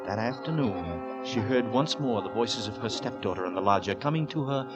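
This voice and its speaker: A man narrating a 1940s radio suspense tale in a deliberate, ominous manner. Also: on the low side, animated, muffled recording, close to an everyday voice. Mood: grave, foreboding. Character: grave male narrator of a Victorian suspense tale. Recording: vintage 1940s radio drama, music underneath.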